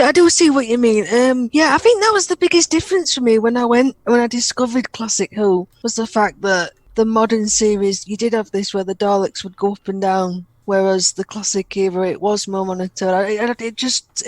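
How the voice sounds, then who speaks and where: A woman co-hosting a casual podcast chat, her voice at an ordinary pitch. She works her way through her thoughts with ums and false starts. The sound is close and dry, with no room echo. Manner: hesitant, with fillers and restarts. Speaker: podcast co-host.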